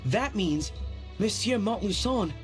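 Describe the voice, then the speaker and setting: An actress playing a studious research assistant in a video game, formal and exact. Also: female voice, medium pitch, animated, takes it slowly, soft background music. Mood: excited, precise.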